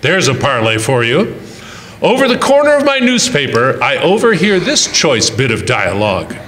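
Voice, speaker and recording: A man's voice: medium pitch, huge pitch swings, normal speed, a bit bright, long reverb tail, slight background noise. A man acting a wry, exasperated but doting husband who narrates an old-time radio comedy with puns. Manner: wry, punning storyteller. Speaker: actor and narrator in a radio play.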